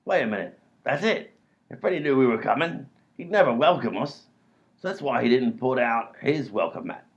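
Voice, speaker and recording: A man's voice: mid-range voice, expressive, normal speed, lightly acted. A man doing a bumbling, blustering robber. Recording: dull lo-fi audio.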